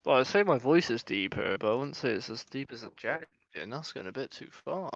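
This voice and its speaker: A man's voice: medium pitch, animated, a little fast, dry recording. Offhand man on a dull voice chat line. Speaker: friend in a game voice chat. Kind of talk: casual banter over voice chat.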